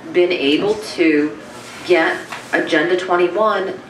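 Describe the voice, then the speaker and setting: A woman's voice: mid-range voice, noisy background. A woman making an insistent comment at a community meeting, throwing out rhetorical questions. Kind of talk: impassioned public comment. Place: meeting room. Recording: echoey room, distant mic.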